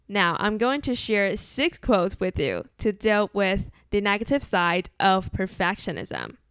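A young woman speaks with a Chinese accent, hosting her own podcast in a calm, earnest and conversational way. Her voice is smooth and clear at a middle pitch. It is recorded close and dry, with no room sound. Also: dry recording. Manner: earnest, measured, relaxed and conversational. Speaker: podcast host. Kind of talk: solo podcast monologue.

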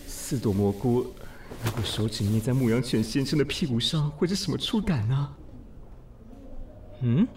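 A man voicing a fussy, excitable, lovestruck man in an audio drama, theatrical and exclamatory. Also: fairly high pitch, a little hiss or hum. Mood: trying to calm down. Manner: theatrical, exclamatory, self-questioning. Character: fussy, excitable, vain man smitten at first sight. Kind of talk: audio drama acting with inner monologue.

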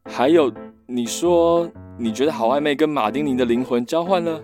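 A man playing a dry, unimpressed, calmly teasing friend in a radio drama, with a deadpan delivery. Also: fairly high pitch, normal speed, over music, natural voice.

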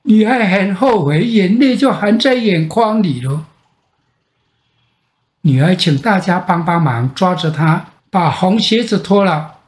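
An older man with a Taiwanese Mandarin accent tells a bedtime fairy tale as a grandfather, with dramatic pauses and repetition. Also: sits high, animated. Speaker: grandfather storyteller. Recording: roomy echo.

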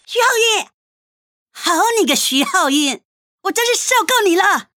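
Radio drama wife: embittered mother, very high-pitched, animated, sarcastic nag. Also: normal speed, no room sound, full character voice. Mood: furious, sarcastic. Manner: scolding, sarcastic, foul-mouthed. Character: furious, embittered wife and mother drowning in family debt.

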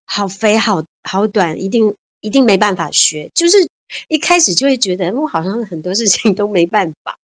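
A woman's voice: mid-range voice, expressive, normal speed, dry recording. Cheerful woman in her fifties, dry sound. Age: 50s. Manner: cheerful, laughs often, repeats words. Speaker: guest. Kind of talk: casual interview chat.

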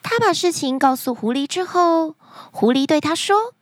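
High, very animated woman storyteller. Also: normal speed, no echo, studio quality. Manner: expressive, dramatic narration. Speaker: children's story podcast host. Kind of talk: animated scripted storytelling for children.

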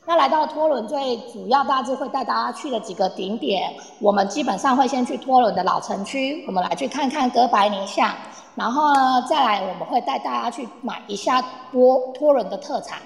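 Cheerful high-voiced tour guide played by a woman, reverberant. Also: a little fast, vocal fry. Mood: cheerful, encouraging. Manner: chatty, addresses group, uses soft sentence-final particles. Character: cheerful, eager female tour guide in Poland. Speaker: tour guide in an audio drama. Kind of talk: amateur live radio-play performance, explanatory. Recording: echoey, long reverb, online audio-room recording.

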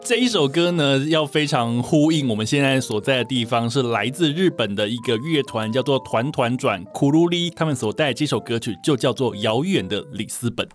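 A man's voice: medium pitch, lively intonation, moderate pace, few pauses, soft background music. A man with a Taiwanese accent, talking chattily and explaining things as a guest on a casual radio interview. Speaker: guest travel writer.

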